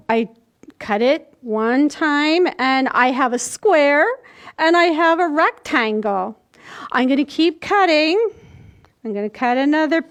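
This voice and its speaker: An older woman with a very high voice leads a storytime for small children. She speaks slowly and in simple steps, demonstrating as she goes. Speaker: children's library storytime host. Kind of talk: instructional talk to young children. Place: indoors, small plain room. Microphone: camera a few feet away.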